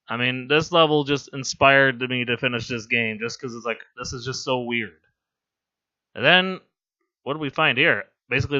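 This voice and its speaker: A hoarse man with laryngitis giving casual, rambling, amused gameplay commentary as a voice-over. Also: medium pitch, bright, forceful tone, dull audio. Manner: rambling, amused and self-deprecating. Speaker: game commentator.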